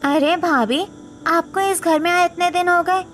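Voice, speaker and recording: A man's voice: very high voice, steady tone, unhurried, fairly bright tone, slight background noise, voice pushed up. A man voicing a spoiled, petulant young sister-in-law in a cartoon story, whiny and demanding.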